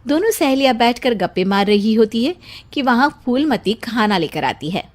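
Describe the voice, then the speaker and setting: A woman's voice: a little high, sing-song, normal speed. A woman narrating a scripted children's story as its storyteller.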